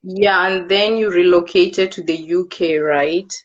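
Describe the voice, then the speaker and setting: Slow-talking woman on a muffled livestream. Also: on the low side, fairly even intonation. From Kenya. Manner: unhurried, hesitant with frequent fillers. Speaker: host of a live video. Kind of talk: casual chat on a livestream. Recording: dull lo-fi livestream audio.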